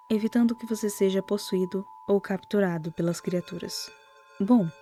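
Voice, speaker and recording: A Brazilian woman narrating a scripted horror commentary in a soft-spoken way, with lightly wry asides. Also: mid-range voice. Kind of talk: whispered-style scripted narration, storytelling commentary. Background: music.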